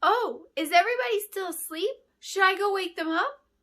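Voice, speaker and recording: Woman voicing an eager, high-pitched little girl. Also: moderate pace. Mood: excited. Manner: excitable, questioning. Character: eager, curious little girl apprentice in a castle.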